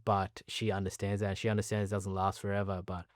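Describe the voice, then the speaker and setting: A man in his late thirties with an Australian accent speaks candidly in a sit-down interview. His voice sits fairly low, and he comes across as earnest and chatty, often laughing at himself. The sound is dry and close, with no room in it. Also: completely flat delivery, quick pace, no echo. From Pakistan, raised in Sydney. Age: 30s. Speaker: cricketer, interview guest.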